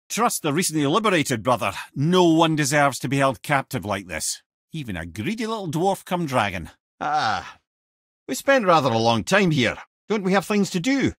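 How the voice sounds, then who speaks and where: An actor playing a witty, learned old companion in a video game, with a Scottish accent, a husky voice and a wry, eloquent, theatrical way of talking. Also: male voice, on the high side, a bit bright, no echo, studio quality. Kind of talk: video game character dialogue, lore storytelling.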